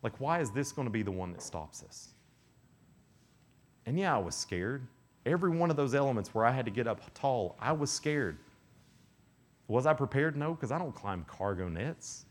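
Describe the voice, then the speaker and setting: A young man preaching to a room of teens in a loose, joking way. His pitch swings widely as he acts out little exchanges and exaggerates for laughs. He sounds relaxed and conversational rather than formal. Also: normal speed, long pauses. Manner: animated, joking, acts out quoted voices. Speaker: youth camp speaker. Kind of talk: youth sermon.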